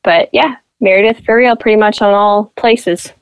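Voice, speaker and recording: A young woman from Nebraska with a flat Midwestern accent, chatting as a podcast guest and acting out quoted lines in a lifted voice. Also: medium pitch, normal speed, clear, resonant tone, no room sound. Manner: chatty, self-deprecating, acts out quotes with a lifted voice. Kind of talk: casual interview storytelling.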